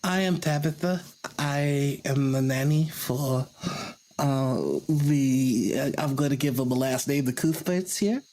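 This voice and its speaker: A man acts out a polite, evasive nanny in a tabletop game. The delivery is slow, courteous and a little hesitant, at a medium pitch. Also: darkish tone, natural voice. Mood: polite, cautioning. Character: polite, calmly evasive nanny named Tabitha.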